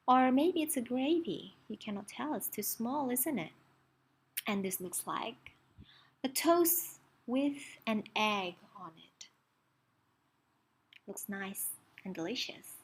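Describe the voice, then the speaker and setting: A woman reading a children's story aloud, expressively, pausing to ask young listeners questions and point things out. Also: mid-range voice, slight background noise.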